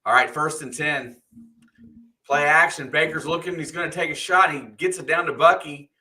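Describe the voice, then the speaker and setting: Bright-voiced man calling football plays. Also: fairly high pitch. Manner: calls plays in quick bursts, then chats casually. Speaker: live play-by-play co-host. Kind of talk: fan play-by-play commentary.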